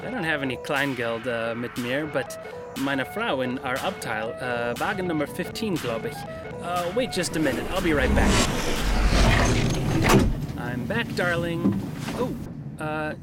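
A man's voice: medium pitch, lively intonation, unhurried, long pauses, loud music behind the voice, natural voice. An actor playing an upbeat, chummy globetrotter in a radio drama, hesitantly switching between English and German. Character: smooth-talking, upbeat male globetrotter mixing English and German.

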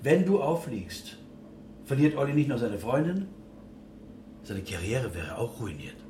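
Male bar owner, mid-pitched, sceptical, concerned, terse, radio drama, echoey noisy room. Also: sing-song, a little slow, a little mellow. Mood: concerned, firm. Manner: probing, terse. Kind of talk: naturalistic radio drama dialogue. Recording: echoey, distant, noisy location sound.

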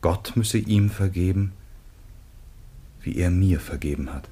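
Dutiful son, low husky voice, slow, troubled, reverent, solemn radio drama. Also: male voice, warm tone. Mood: sorrowful reporting. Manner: measured, reverent, declamatory. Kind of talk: solemn classical German radio play acting.

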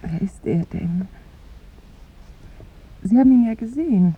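A woman's voice: mid-range voice, expressive, measured pace, slightly rough. An actress playing a tender, lovestruck young woman in a 1950s German radio play, with wistful, lyrical phrasing that often sinks to a whisper. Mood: rapturous. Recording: muffled, phone-like 1950s radio recording.

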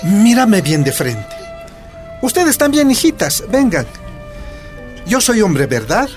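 A man's voice: high voice, sing-song, takes it slowly, faint music behind, heavily acted. An actor playing a loving, stubborn Bolivian union man and father, reasoning tenderly in a radio soap opera. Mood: tender, reassuring.

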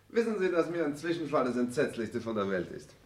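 Radio play: fussy aristocratic fop, very animated pitch, languid snobbery, mannered. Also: male voice. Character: foppish, blasé aristocratic baron, fussy and snobbish. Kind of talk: 1960s German radio drama acting.

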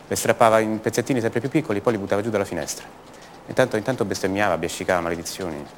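An actor playing a passionate, rebellious young poet from a rich family in a radio theatre monologue, confiding and halting, repeating his words. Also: lowish voice, slight background noise, natural voice. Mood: hushed, bitter.

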